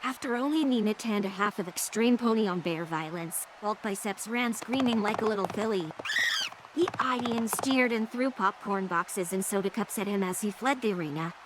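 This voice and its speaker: A woman's voice: medium pitch, normal speed, background noise. A woman's synthetic text-to-speech voice reading scripted third-person story narration.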